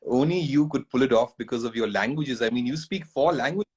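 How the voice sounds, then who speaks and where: A man's voice: mid-range voice, a little fast, a crowd in the background. A man hosting a casual interview, friendly and encouraging as he asks questions. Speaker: host.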